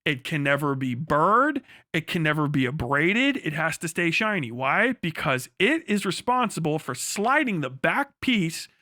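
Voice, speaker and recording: A man livestreaming in a casual, crude, profanity-laced storytelling style as he explains things in an animated way. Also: fairly high pitch, measured pace, no echo, clean studio sound. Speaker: livestream host.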